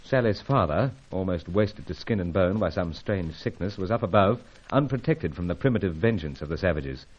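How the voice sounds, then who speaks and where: An old, muffled radio adventure recording. a suave secret agent with a deep, expressive voice. He is calm, clipped and gallant, steady as a hero should be. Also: normal speed, hardly any pauses, breathy edge. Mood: grave narrating. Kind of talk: old-time radio adventure serial acting and narration.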